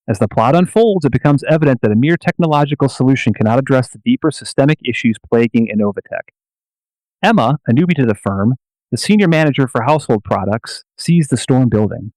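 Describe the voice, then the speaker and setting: A man narrating a scripted radio play in a measured storytelling manner. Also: mid-range voice, darkish tone, dry recording, rough recording.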